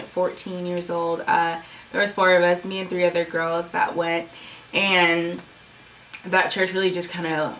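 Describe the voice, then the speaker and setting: Young woman YouTuber, low-ish voice, rambling casual chat, mic set back with faint hiss. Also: a bit bright. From United States, Sudanese family. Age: young adult. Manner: chatty, rambling with many fillers. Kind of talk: casual personal vlog. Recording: mic at a distance, faint room noise.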